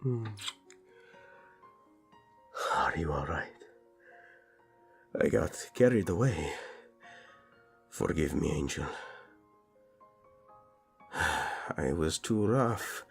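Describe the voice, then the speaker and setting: A man acts out an intense, doting prince in a romantic audio drama. His husky voice moves slowly and swings expressively as he flirts and teases with tender intimacy. Music plays underneath. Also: a bass voice, animated, heavily acted. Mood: soft, apologetic.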